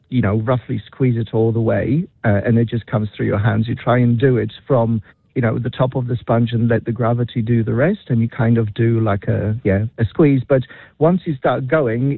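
Polish-accented male caller, gravelly, dry close sound. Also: mid-range voice, dry recording. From Poland. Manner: chatty, laughs often, many fillers. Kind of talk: casual radio chat. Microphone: phone. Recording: tinny phone line.

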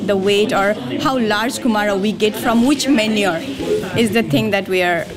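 A young woman from Nepal with a Nepali accent, a student answering haltingly in an interview. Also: mid-range voice, a crowd in the background. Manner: halting, hesitant.

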